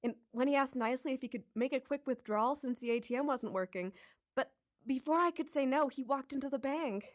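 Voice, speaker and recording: A woman's voice: high-pitched, brisk, close to an everyday voice. A woman voicing a dreamy, chatty young bank teller. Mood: gushing. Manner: gushing, chatty.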